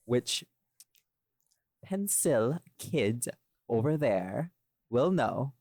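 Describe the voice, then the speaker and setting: A man's voice: mid-range voice, big pitch swings, very slow, a little mellow, no echo, clean studio sound. A man chatting casually in a rambling way, often interrupting himself and repeating phrases. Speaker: podcast guest, voice actor. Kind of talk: casual chat.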